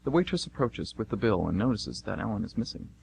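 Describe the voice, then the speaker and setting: Low, animated male radio play narrator. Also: quick pace, vocal fry, slight background noise.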